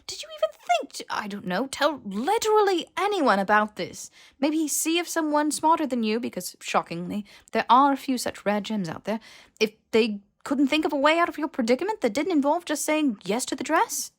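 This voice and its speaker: A woman's voice: sits high, sing-song, moderate pace, pitched above the performer's own voice. A woman playing a blunt, exasperated young male wizard in a fantasy audiobook, with sarcastic, emphatic delivery. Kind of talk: character voice in fan fiction audiobook.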